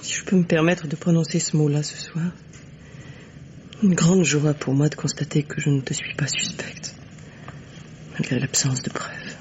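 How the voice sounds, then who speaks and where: An actress playing a wounded, resigned lover with a hesitant, tender, breathy delivery, in the theatrical style of a 1950s radio mystery. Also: female voice, low-pitched, level tone, moderate pace, pauses often, a little mellow, close to an everyday voice. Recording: dull, noisy old radio recording.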